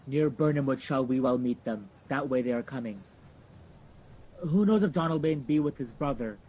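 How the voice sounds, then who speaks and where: Dark-toned resolute nobleman played by a man, muffled. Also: mid-range voice, normal speed, breathy edge, lightly acted. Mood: resolute, scornful. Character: resolute rebel Scottish nobleman, scornful of tyrant. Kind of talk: Shakespearean radio drama acting. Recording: dull, noisy, lo-fi recording.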